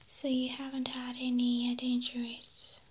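A woman whispering gently and reassuringly, unhurried and breathy, as a medical examiner in an ASMR roleplay on a close binaural microphone. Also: fairly high pitch, completely flat delivery. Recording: faint background noise, close-miked whisper.

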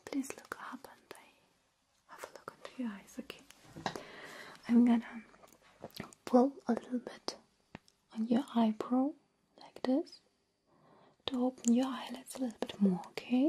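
A woman whispering softly and reassuringly in an ASMR roleplay, playing a kind, caring alien examiner. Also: on the high side, a little hiss or hum. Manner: soft, slow, reassuring, with gentle repetition. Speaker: ASMR performer.

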